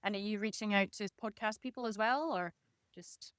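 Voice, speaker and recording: A woman's voice: mid-range voice, moderate pace, fairly bright tone, no echo, clean studio sound. A woman hosting a casual podcast interview in an encouraging, affirming manner. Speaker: podcast host.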